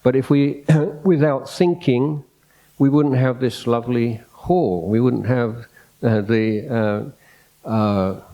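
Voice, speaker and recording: A soft-spoken man, a Buddhist monk, giving an unscripted dhamma talk in a hall, reflective and hesitant, restarting his phrases often. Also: medium pitch, takes it slowly, dull audio. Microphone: microphone in a hall.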